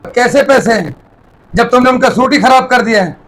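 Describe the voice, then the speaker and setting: Tailor shop boss, male actor, strikingly high voice, stern and brusque, moral drama. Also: moderate pace, fairly bright tone, slight background noise, rough recording. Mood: scornful anger. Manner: brusque with underlings. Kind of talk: moral story drama acting.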